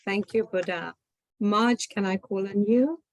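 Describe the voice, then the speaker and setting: Brief: woman moderator, brisk and friendly, dry close sound, video call. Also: medium pitch, no room sound. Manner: brisk, friendly, calls on people. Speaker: meeting moderator. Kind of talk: moderating an online session.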